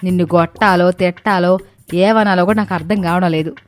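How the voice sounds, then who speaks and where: A woman's voice: on the low side, fairly even intonation, a little fast, pitched below the performer's own voice, natural voice. A woman voicing a hot-tempered, rich middle-aged mother-in-law in a dramatized moral story, domineering and sarcastic as she scolds. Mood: angry, scolding.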